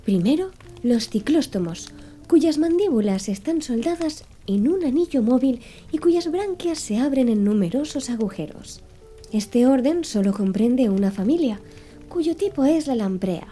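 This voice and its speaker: A woman voicing a pedantic young male servant in an audiobook, reciting long classifications with calm impassivity. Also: high-pitched, measured pace, soft background music. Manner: impassive, reciting lists precisely.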